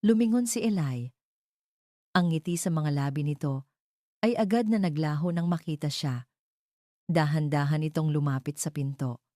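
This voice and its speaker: A woman's voice: low voice, moderate pace, long pauses, warm tone, no echo, clean studio sound. A woman narrating a scripted love story in a dramatic storytelling style.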